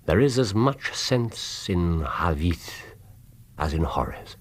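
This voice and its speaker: An actor playing a brilliant Victorian English detective in a radio drama, explaining things with theatrical precision. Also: male voice, low-pitched, animated, takes it slowly, full character voice. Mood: grave, musing.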